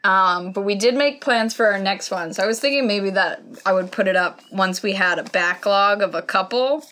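A woman in her twenties co-hosting a podcast, chatting casually with dry, deadpan humor. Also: mid-range voice, moderate pace. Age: 20s. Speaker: podcast co-host. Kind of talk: casual chat.

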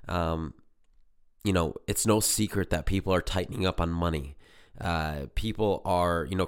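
Male podcast host, very low voice, hoarse from illness, chatty and earnest. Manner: rambling, filler-heavy, earnest. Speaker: podcast host and business coach. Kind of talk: casual solo podcast talk.

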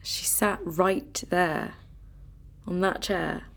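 An actress playing a grieving granddaughter in a naturalistic radio drama, tender and firm, speaking in a measured, earnest way. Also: female voice, lowish voice, steady tone, gravelly, fairly bright tone, heavily acted. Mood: quietly insistent.

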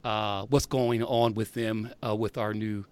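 A man's voice: fairly low pitch, little pitch movement, unhurried, clear, resonant tone, dry recording. A man hosting a podcast interview in an upbeat, chummy way. He keeps checking in with "right?". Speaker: podcast host.

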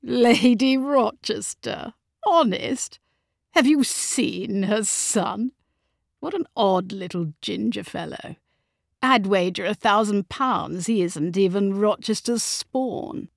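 A coldly bored aristocratic gentleman as a female narrator plays him, haughty and cutting. His impatient lines come in a slow, widely inflected storybook drawl, recorded dry. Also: mid-range voice, lively intonation, creaky, no room sound, full character voice. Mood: mocking. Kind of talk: audiobook character acting.

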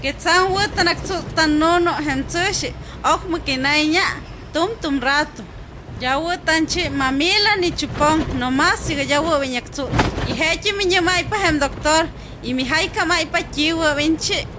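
A woman's voice: high-pitched, unhurried. A woman, a native Popoluca speaker, playing a village woman advising a neighbour in a radio drama. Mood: conversational. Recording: noisy outdoor vehicle ambience.

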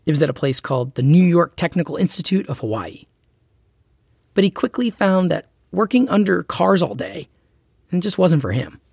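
Male narrator, scripted documentary host, animated pitch, gravelly voice, personal conversational delivery. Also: normal speed, no room sound.

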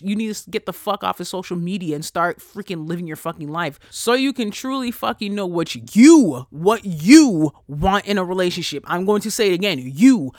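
High-voiced, animated podcast host. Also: male voice, no echo, studio quality. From Haitian family. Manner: animated, profane, swings loud and quiet. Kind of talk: casual solo podcast rant.

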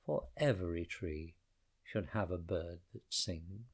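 A man voicing an old, gentle male tree in a spoken storybook poem, talking softly with a sigh. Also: low voice, lively intonation, takes it slowly, breathy edge, pitched below the performer's own voice, exaggerated voice. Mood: tender. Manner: soft, sighing.

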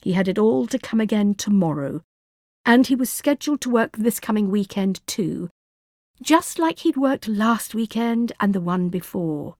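A woman narrating fiction as an audiobook reader, in a measured, expressive storytelling manner. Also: mid-range voice, no echo, clean studio sound.

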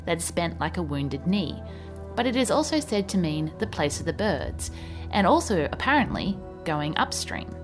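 Low female voice, Australian accent, documentary storytelling, measured scripted delivery, music underneath. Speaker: history video narrator. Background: background music.

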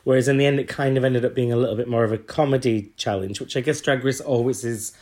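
A man co-hosting a podcast, talking in crude, joking banter with a hoarse voice that is still recovering. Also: medium pitch, fairly even intonation, fast talker, darkish tone. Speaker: podcast co-host. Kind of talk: casual comedic chat.

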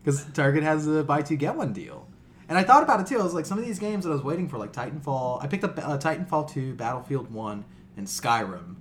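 A man's voice: a little high, fast talker. An American man co-hosting a podcast in casual, comedic chat, telling stories with plenty of swearing. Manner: animated, profane storytelling. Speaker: podcast co-host.

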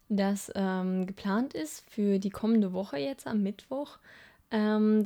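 A woman's voice: mid-range voice, vocal fry, dark tone. A young woman co-hosting a political podcast in casual chat, hesitant, with frequent filler sounds and restarts. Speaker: podcast co-host.

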